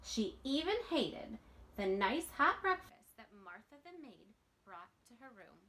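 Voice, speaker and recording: A woman reads a children's storybook aloud in a fairly high voice that moves up and down a lot. She takes her time, setting each phrase apart with deliberate pauses, like a teacher reading to young listeners. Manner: expressive, deliberate, pausing between phrases.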